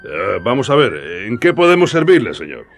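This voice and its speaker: An actor playing a brusque, cheeky disciple with a gravelly voice in a radio drama. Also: male voice, mid-range voice, big pitch swings, a little fast, faint music behind.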